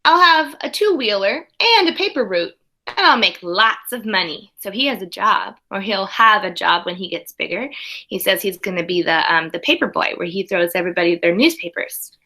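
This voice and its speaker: A woman's voice: mid-range voice, lively intonation, moderate pace, bright, forceful tone. A woman teaching young children, reading a storybook aloud expressively and chatting to them in conversational asides. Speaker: early primary school teacher.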